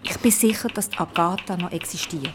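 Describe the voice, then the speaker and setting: An actress with a Swiss accent playing a stubborn, impulsive violinist in a naturalistic radio play, insistent in manner. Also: female voice, lowish voice, a little fast, slight background noise, lightly acted. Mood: determined.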